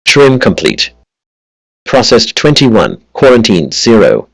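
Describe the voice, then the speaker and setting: A man's voice: fairly low pitch, wildly swooping pitch, slow pace, gravelly, slightly rough, no room sound, poor audio. A synthetic male voice reading out automated status announcements as a computer assistant.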